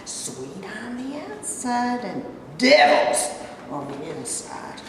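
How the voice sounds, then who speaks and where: A woman's voice: medium pitch, sing-song, takes it slowly, creaky, full character voice. An actress playing a suspicious, domineering, street-wise homeless mother in a staged reading, with a folksy, scolding, sarcastic drawl. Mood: scornful, scolding. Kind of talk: theatrical staged play reading. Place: live staged reading in a hall. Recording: echoey hall, far mic, noisy.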